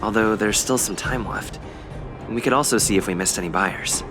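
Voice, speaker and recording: A man plays a composed game companion with a lowish voice, giving information calmly. Music runs behind him. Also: normal speed, natural voice. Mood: matter-of-fact. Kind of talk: animated game voice acting.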